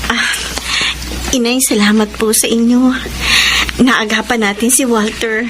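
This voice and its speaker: A woman's voice: on the high side, steady tone, measured pace, background noise, close to an everyday voice. A woman playing an earnest, respectful, grateful young wife in a Filipino radio drama. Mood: relieved, thankful. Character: grateful, devoted young wife and daughter-in-law.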